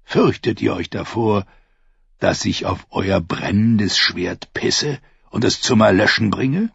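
A man voicing a scornful, defiant old knight in a slow, husky, mocking tone. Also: on the low side, a bit bright, no room sound, lo-fi sound, exaggerated voice. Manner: contemptuous, mocking.

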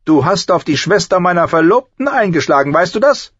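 A man's voice: high voice, sing-song, measured pace, no room sound, muffled recording, pitched above the performer's own voice, full character voice. A man voicing an arrogant, cruel teenage prince in an audiobook, haughty and commanding. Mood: menacing.

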